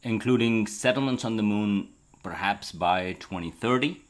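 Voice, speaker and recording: A man's voice: lowish voice, normal speed. A middle-aged man in a casual science conversation, speaking as an educator and co-host into a handheld microphone indoors. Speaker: astronomy educator and show co-host. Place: bright room at a table indoors. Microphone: handheld interview microphone held out to him.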